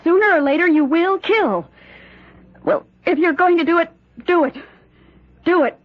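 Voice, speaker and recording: A woman's voice: extremely high pitch, moderate pace, dry recording. An actress playing a devoted, resolute wife in an old-time radio drama, earnest and insistent with her husband. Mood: insistent, daring. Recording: thin, tinny old radio recording.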